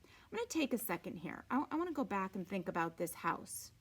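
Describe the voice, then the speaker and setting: A woman reading a storybook aloud with deliberate, dramatic phrasing and informal asides, sometimes dropping to a hush. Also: mid-range voice, very animated, breathy edge. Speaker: read-aloud reader.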